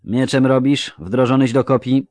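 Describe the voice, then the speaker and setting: A man voicing a gruff, bored male army recruiting officer who snaps out curt questions. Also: mid-range voice, fairly even intonation, normal speed, darkish tone, dry recording, clean studio sound, pitched above the performer's own voice, close to an everyday voice. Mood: brusque.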